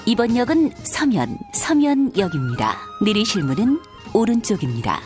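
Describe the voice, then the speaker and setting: A woman's voice: mid-range voice, sing-song, normal speed, music in the background. A woman reading scripted subway station announcements in a formal, carefully enunciated manner. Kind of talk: scripted public transit announcement.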